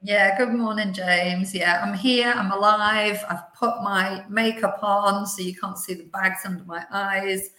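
A woman's voice: mid-range voice, fairly even intonation, a little slow, few pauses, pushed and bright, roomy sound. A woman, chatting as an upbeat guest on a podcast. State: slightly hoarse. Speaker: venue sales director.

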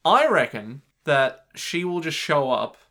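A man's voice: on the high side, sing-song, a little slow, sharp tone. A man, co-hosting a podcast in casual chat, thinking aloud with playful, rambling guesses and lots of fillers. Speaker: podcast co-host.

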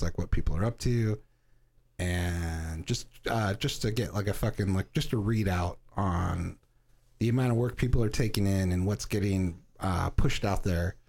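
A man's voice: deep voice, gravelly, darkish tone. A man co-hosting a casual podcast chat, swearing often and joking about his own talents. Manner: swears a lot, boastful and joking. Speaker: podcast co-host.

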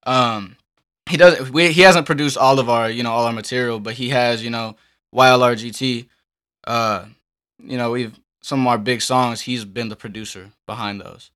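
A young man speaks at an average male pitch as a musician being interviewed. His talk is casual and slangy, loosely strung together with halting turns. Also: fairly even intonation, a bit bright, smooth. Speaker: musician guest. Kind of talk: casual chat.

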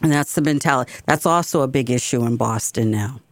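A woman's voice: a bass voice, a little fast, no echo. A woman hosting a community radio talk show, speaking with passion and repeating phrases for emphasis. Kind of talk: opinionated talk radio monologue.

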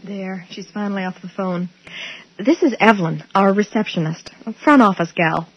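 A woman playing a chatty, familiar, quietly complicit dental assistant in a 1980s radio drama. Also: medium pitch, normal speed, close to an everyday voice. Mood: chummy, upbeat. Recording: dull old radio recording.

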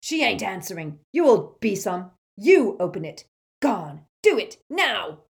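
A woman's voice: mid-range voice, very animated, measured pace, gravelly, voice pushed up. A woman voicing a brutish, hot-tempered male wizard thug who talks in coarse dialect. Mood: furious. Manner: loud, coarse dialect speech.

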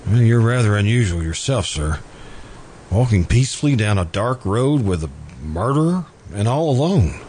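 An actor playing a mild, courteous, lovelorn murderer in an American radio drama, speaking haltingly and politely. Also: male voice, fairly low pitch, big pitch swings. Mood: polite, calm. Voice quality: hesitant, halting delivery. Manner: polite, deferential, quietly stubborn. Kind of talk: old-time radio drama acting. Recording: amateur radio recording, clearly noisy background.

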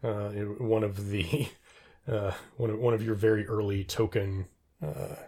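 A middle-aged man in a casual trivia discussion, rambling and thinking out loud as he talks. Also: lowish voice, level tone, unhurried. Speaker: podcast co-host.

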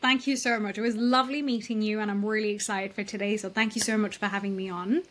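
A woman's voice: mid-range voice, steady tone, fast. A woman from England, a real estate coach, talking emphatically and candidly as a podcast guest over a video call from home. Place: home room abroad.

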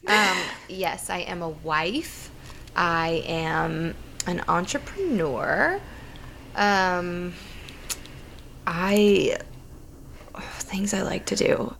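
A woman co-hosting a podcast, speaking candidly in quick bursts. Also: on the low side, lively intonation, gravelly, a bit bright, a little hiss or hum. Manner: candid, talks fast in bursts. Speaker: podcast co-host. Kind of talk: casual podcast chat.

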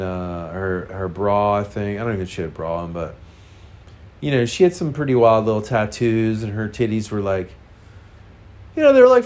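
A man's voice: on the low side, a little mellow. A man with a congested, nasal voice, casually telling a frank, rambling personal story full of fillers.

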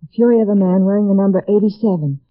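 A woman's voice: mid-range voice, very fast, close to an everyday voice. An American woman playing an earnest young daughter in a 1950s radio drama. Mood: puzzled. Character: anguished young woman, devoted daughter, horrified. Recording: tinny vintage radio recording.

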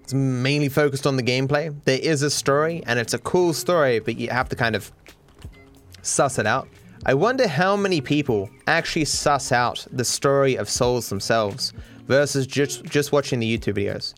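A tired man with a cultivated Australian accent muses through viewer questions in a loose, casual ramble. He trails off and restarts often, leaning on fillers as he thinks aloud at a middling pitch. Faint music plays quietly beneath his voice. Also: normal speed. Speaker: streamer answering viewer questions.

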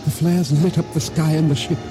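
An actor playing a solemn island boatman, narrating his ordeal at sea in measured, formal, deliberate words for a radio drama. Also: on the high side, fairly even intonation, lightly acted, poor audio. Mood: grave, measured. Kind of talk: dramatic first-person narration for radio theater. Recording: radio drama with music underscore.

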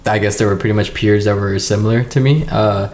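A man from Texas, a podcast guest in a garage studio, talking in a relaxed, easygoing way with many fillers. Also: fairly low pitch, brisk. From Texas, United States. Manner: relaxed, easygoing, many ums and you-knows. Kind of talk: casual interview chat.

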